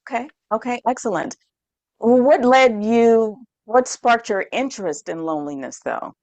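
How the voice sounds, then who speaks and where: A woman hosting an interview who asks slow, hesitant questions and often restarts them. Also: a little high, a little mellow, no echo, dull audio. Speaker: host.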